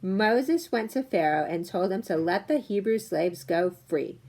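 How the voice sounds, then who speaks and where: A woman's voice: mid-range voice, lively intonation, measured pace, a little mellow. A woman voicing a kindly old grandfather who explains family traditions in a picture-book read-aloud. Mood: solemn storytelling.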